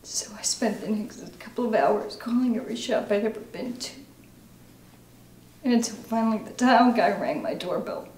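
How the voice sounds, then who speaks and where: In a roomy, echoing space, an actress plays a recovering alcoholic mother making her confession. She is halting, sombre and weighed down by guilt, in a dramatic stage monologue with faint noise behind it. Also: on the high side, expressive, a little mellow, natural voice. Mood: anguished, remorseful.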